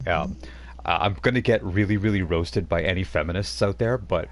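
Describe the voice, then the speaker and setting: A man narrating as game master, relaxed and halting, with frequent fillers. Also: deep voice.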